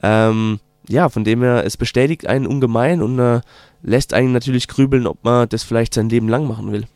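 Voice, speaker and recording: Palatinate German man, mid pitch, hesitant filler-heavy delivery, dry close recording. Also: darkish tone, dry recording. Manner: hesitant, many filler sounds, slow drawn-out phrasing. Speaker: documentary filmmaker guest. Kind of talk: casual interview answers.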